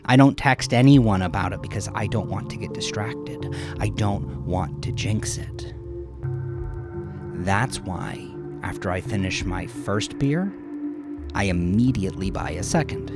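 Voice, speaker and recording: A man narrating a first-person dramatic audio story in a confessional, conversational manner with dramatic pauses. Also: lowish voice, expressive. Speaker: narrator of his own horror story. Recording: music behind the voice. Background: background music.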